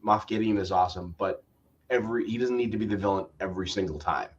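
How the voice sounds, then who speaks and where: Man, lowish voice, hesitant, stammering restarts, dull lo-fi audio. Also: fast talker. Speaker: co-host reviewing a TV show. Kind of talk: casual review chat.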